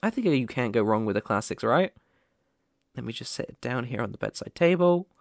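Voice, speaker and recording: A man's voice: medium pitch, lively intonation, a little fast, creaky, no echo. A young man playing a caring, playful boyfriend looking after his sick partner in an intimate, soothing ASMR roleplay, close to the microphone. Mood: cheerful, caring. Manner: gentle, soothing, affectionate, chuckling often. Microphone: close microphone, dry.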